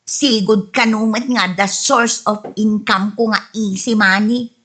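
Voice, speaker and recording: A woman playing a touchy, reformed snack vendor in an Ilocano-accented radio comedy drama, with a husky voice and plaintive, indignant manner. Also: mid-range voice, steady tone, takes it slowly, a bit bright. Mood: aggrieved. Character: touchy reformed woman peddling afternoon snacks, easily offended.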